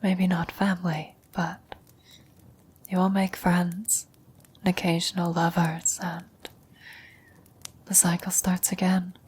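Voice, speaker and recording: A woman playing a dying former lover speaks in a breathy whisper, slow and solemn. Her delivery is low and very level, wistful and knowing, in the manner of an ASMR roleplay, with background noise around it. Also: little pitch movement, darkish tone. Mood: knowing, melancholy.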